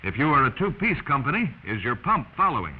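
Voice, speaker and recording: A man's voice: mid-range voice, very animated, brisk, breathy edge. An American man narrating a 1940s instructional film in an emphatic, instructive manner, addressing the listener directly. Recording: muffled, noisy 1940s film soundtrack.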